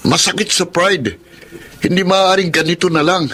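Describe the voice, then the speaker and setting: A man's voice: high-pitched, fairly even intonation, normal speed, pushed and bright. A man playing a proud, boastful young Filipino suitor in a radio drama, insistent and vain. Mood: wounded pride. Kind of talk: Tagalog radio drama acting.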